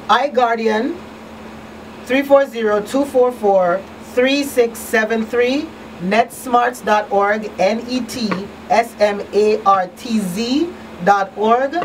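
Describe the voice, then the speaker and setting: A woman's voice: a little high, distant mic, a little hiss or hum, rough recording. A middle-aged woman hosting a radio talk show, addressing listeners directly and emphatically. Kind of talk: public-service talk show wrap-up. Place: small indoor radio studio. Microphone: boom-mounted studio microphone.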